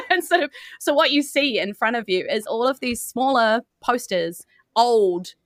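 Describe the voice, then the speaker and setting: Bright, animated woman game master. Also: fairly high pitch, unhurried, no echo, studio quality. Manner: giggly, informal. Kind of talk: improvised tabletop game narration, casual.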